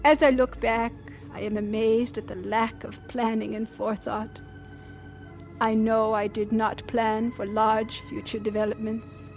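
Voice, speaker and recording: Radio drama lead: aged missionary doctor, humble, reflective, slightly high voice, music underneath. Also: female voice. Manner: measured, earnest, reverent. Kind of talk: radio drama first-person narration.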